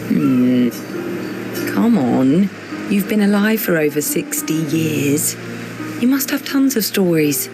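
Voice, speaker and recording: Guarded young female teacher, wry, teasing, lowish voice, measured game cutscene delivery, clear music underneath. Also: lively intonation, full character voice. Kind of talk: naturalistic video game cutscene acting.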